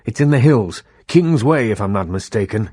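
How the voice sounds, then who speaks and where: Deep, measured, proud vampire elder. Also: male voice, expressive, dark tone, slightly rough, no room sound, clean studio sound. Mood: grave, scheming. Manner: measured, theatrical, world-weary authority. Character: proud old-fashioned vampire elder, Hollywood baron. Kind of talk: scripted video game dialogue.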